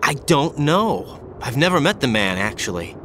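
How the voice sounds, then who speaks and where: A medium-pitched young male soldier from a video game. He is earnest and intense, with a brooding, haunted edge to his questions. Clear ambient noise runs behind him. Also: very animated, measured pace. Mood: uneasy. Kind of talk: video game cutscene voice acting.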